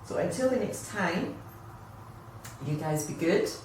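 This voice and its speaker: A woman reading a rhyming children's picture book aloud in an expressive, theatrical storytelling manner. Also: lowish voice, unhurried. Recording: echoey room, distant mic, faint noise.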